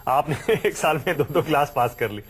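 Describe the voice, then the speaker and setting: A man anchoring a TV news show, interviewing a guest in the studio with brisk, teasing questions. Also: fairly high pitch, expressive, slightly rough.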